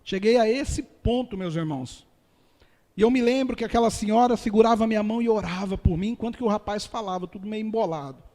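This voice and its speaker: A man's voice: sits high, quick pace, darkish tone. A man with a Brazilian Portuguese accent, a pastor giving an earnest testimony sermon and calling his listeners dear ones. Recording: long hall reverb.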